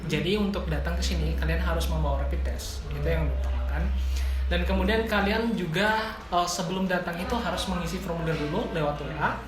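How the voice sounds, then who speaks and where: A man from North Maluku, an admin staff member, explaining enrollment in an unhurried, informative way. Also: sits high, echoey room, background noise. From North Maluku, Indonesia.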